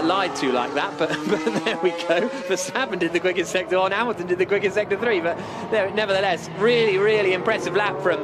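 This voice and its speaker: A man giving live motor racing commentary with an excited, urgent play-by-play delivery. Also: high-pitched.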